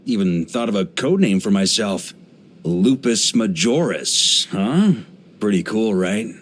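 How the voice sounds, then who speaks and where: An actor playing a hearty middle-aged knight grandmaster in a video game, an easygoing adventurer who talks in a wry, conversational way. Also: male voice, on the low side, slight background noise. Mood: admiring, easygoing. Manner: relaxed, wry, conversational, with chuckles and musing pauses. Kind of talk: video game character voice lines.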